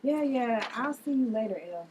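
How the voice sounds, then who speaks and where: A woman's voice: fairly high pitch, lively intonation, brisk, a little mellow, a little hiss or hum, close to an everyday voice, rough recording. A woman reading the part of a blunt, sardonic sister with a dry, dismissive manner in a family drama table read.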